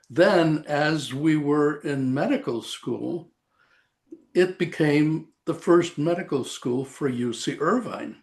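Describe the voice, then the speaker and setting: An elderly man in his eighties from El Salvador tells his story slowly, pausing often and filling the gaps with "uh." His voice sits at an ordinary pitch for a man, and he wanders through his memories in a relaxed, unhurried way. He is speaking over a video call. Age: 80s. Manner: slow, rambling, halting with frequent "uh". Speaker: retired radiation oncologist, guest. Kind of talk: reminiscing interview.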